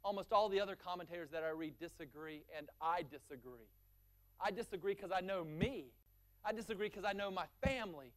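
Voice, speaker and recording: A male pastor preaching a sermon, building force through long lists and dropping low and quiet for key points. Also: fairly quick.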